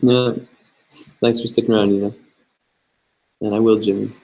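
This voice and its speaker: A young man with a low voice chats loosely with his viewers, reading out their questions and answering off the cuff. His tone is gravelly with a touch of fry, and he fills gaps with easy ums and likes. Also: normal speed, low-quality recording. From Canada. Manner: relaxed and chatty, many fillers, reads questions aloud. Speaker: travel vlogger. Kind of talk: casual livestream chat answering viewer questions.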